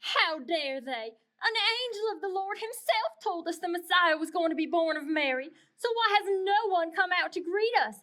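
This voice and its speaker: Puppet-show donkey, female, played by a woman: bright, high voice, proud but humble, earnest storybook delivery. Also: lively intonation, normal speed, few pauses, exaggerated voice. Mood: indignant.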